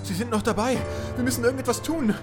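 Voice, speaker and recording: A man's voice: extremely high pitch, fast talker, over music. A man playing a naive, chatty, whiny professor adventurer in a comedic fantasy audio drama. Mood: whiny, distressed. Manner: whiny, childish, babbling.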